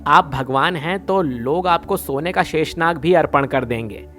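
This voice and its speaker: A man's voice: a little high, measured pace, fairly bright tone, faint music behind. A man playing a greedy village thief in a devotional story, brash, colloquial and grumbling. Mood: bargaining.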